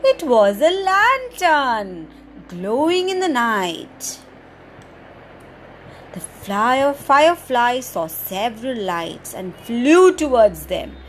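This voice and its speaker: A woman with a high, very expressive voice that swoops up and down as she reads a picture book to children. She takes her time, in a slow, sing-song storytime manner, with faint noise in the background. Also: poor audio. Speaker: children's storyteller podcast host.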